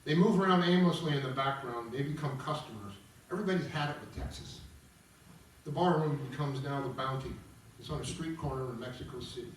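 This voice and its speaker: A man's voice: medium pitch, a little fast, slight background noise. A man reading stage directions aloud as the narrator of a musical table read. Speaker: narrator and singer of a self-written opera. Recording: distant, very echoey room with music.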